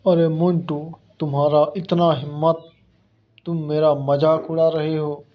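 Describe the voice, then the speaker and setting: Man playing a proud, slow-speaking lion king. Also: a little high, little pitch movement, lo-fi sound, close to an everyday voice. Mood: indignant. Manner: commanding, threatening, deliberate. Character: proud, hot-tempered lion king of the jungle. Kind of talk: animated children's story dialogue.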